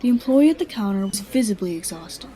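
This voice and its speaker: A woman's voice: medium pitch, lively intonation, brisk, mellow. A woman reading scripted third-person narration as the narrator of a radio drama.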